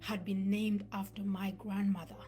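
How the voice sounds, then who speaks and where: A woman in her thirties who grew up between Uganda and Scandinavia delivers a motivational live talk. Her voice sits at a middle pitch, earnest and encouraging, and she slips into a whisper to stress a point. Faint music plays underneath. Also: level tone, normal speed, dark tone. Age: 30s. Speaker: motivational speaker.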